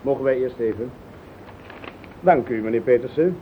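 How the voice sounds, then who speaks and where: An actor playing a courteous, probing police investigator, formal and sceptical, in a Dutch radio play. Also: male voice, moderate pace, lightly acted. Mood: brisk, probing. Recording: phone-like old radio recording, faint rumble.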